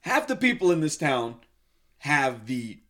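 Animated laughing man, slightly high voice. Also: unhurried. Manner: animated storyteller, laughs often. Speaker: podcast co-host and voice actor. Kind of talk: casual banter among friends.

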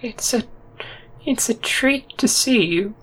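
A man's voice: very high voice, expressive, slow, a bit bright, slight background noise, voice pushed up, full character voice, rough recording. A man voicing a morose but polite giant spider creature with a hesitant, fragile manner in an audio drama. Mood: pleasant but morose.

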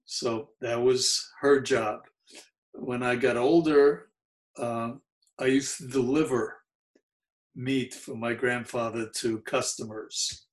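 A man in his seventies with a New York accent and Yiddish as his first language, recalling his childhood in an oral history interview, mellow and halting with frequent fillers. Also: medium pitch, fairly even intonation. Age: 70s.

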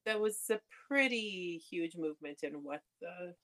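A young adult woman from Canada, telling her story candidly in a relaxed conversation. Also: lowish voice, a little slow. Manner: candid storytelling, laughs often. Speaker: podcast guest. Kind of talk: casual conversation.

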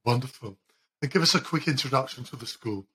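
A man's voice: mid-range voice, fairly quick, husky. A man hosting a podcast, upbeat and welcoming as he introduces the episode and asks the questions. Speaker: podcast host. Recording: intro music loud behind voice.

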